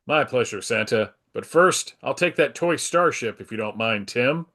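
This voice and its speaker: A man's voice: medium pitch, lively intonation, normal speed, sharp tone. A man playing a confident, polite comic book superhero, earnest and composed, in a dramatic table read. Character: heroic, polite, confident adult male superhero.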